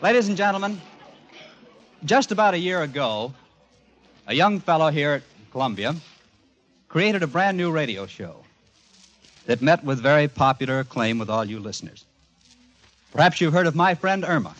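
A man's voice: on the high side, expressive, moderate pace, long pauses, a bit bright, dull audio. A man announcing in 1940s radio style to a studio audience on an old recording.

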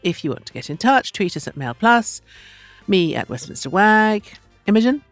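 A woman's voice: medium pitch, big pitch swings, normal speed, dry recording, soft background music. A woman hosting a podcast, chatty and enthusiastic as she interviews a guest. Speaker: podcast host. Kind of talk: casual chat and interviewing.

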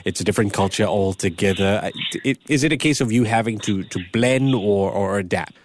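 A man's voice: fairly low pitch, fairly quick, noisy background. A man hosting an interview, asking questions in a conversational way. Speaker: interviewer, host.

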